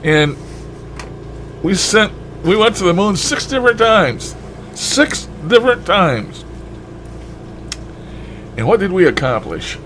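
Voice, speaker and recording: A man's voice: high-pitched, sing-song, takes it slowly, long pauses, a bit bright, a little hiss or hum. A man talking in a casual, rambling monologue with a folksy, blunt and mildly profane manner. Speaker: shortwave radio hobbyist vlogger.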